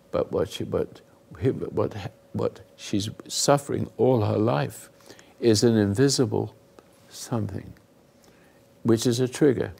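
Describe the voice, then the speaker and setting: An older man hosting a talk, giving rambling, earnest moral teaching to a live group, often dropping into hushed asides. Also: lowish voice. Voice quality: gravelly, husky. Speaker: host and spiritual counselor.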